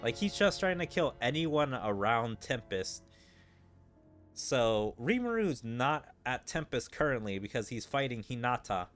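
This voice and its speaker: A man's voice: medium pitch, big pitch swings, a little slow, a bit bright, faint music behind. A man streaming a game and giving casual commentary in rambling, hesitant explanations peppered with filler words. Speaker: gaming streamer.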